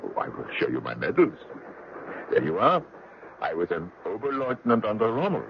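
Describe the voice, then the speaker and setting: A man's voice: on the low side, huge pitch swings, moderate pace, creaky, full character voice. An actor playing an affable, talkative traveling salesman and war veteran in an old radio drama, polite and a little hesitant. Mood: chatty, guarded. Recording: muffled radio drama recording with noise.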